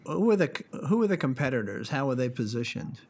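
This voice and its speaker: A man hosting an interview, curious and animated, asking questions with frequent restarts. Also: mid-range voice, brisk. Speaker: interview host. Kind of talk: remote interview conversation.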